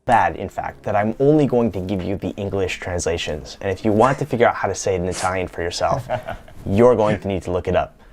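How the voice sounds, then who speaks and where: A man's voice: low-pitched, fairly quick, a little mellow. A young man from the United States presenting a scripted vlog in a playful, lightly joking manner. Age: young adult. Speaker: YouTube creator.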